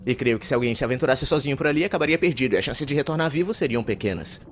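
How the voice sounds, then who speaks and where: A man acts out a reclusive young male student with a Brazilian accent, narrating his anime life in pompous, formal sentences. He talks very fast and wordily at a medium pitch, with clear noise behind the voice. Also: animated, lightly acted. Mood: matter-of-fact, wry. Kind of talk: fan-dubbed anime monologue narration.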